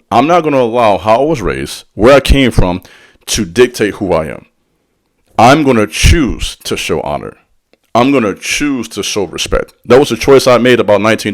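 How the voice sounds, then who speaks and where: A man talking straight to his audience in a preacherly rhythm. His delivery is slangy and direct. He sprinkles in call-and-response asides as he teaches on spiritual matters. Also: on the low side. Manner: slangy and direct, addressing the listener. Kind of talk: motivational spiritual talk.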